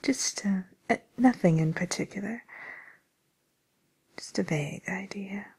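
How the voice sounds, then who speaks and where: A woman playing a polite, attentive receptionist at a fairy-tale dating agency in a soft, breathy, hesitant whisper, as an ASMR roleplay. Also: mid-range voice, a little slow, full character voice.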